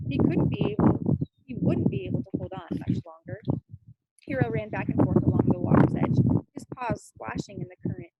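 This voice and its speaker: A woman's voice: extremely high pitch, sing-song, a little fast, vocal fry, highs cut off, heavy background noise. A woman reading a children's novel aloud with dramatic, suspenseful narration. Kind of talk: expressive read-aloud narration.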